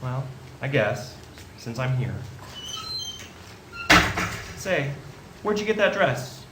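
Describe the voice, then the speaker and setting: A man's voice: medium pitch, sing-song, slow pace. A man playing a restless, wry, grumbling young small-town man in a radio play. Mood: flustered, grumpy. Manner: hesitant, grumbling. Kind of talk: stage radio play acting. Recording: echoey theatre, faint noise.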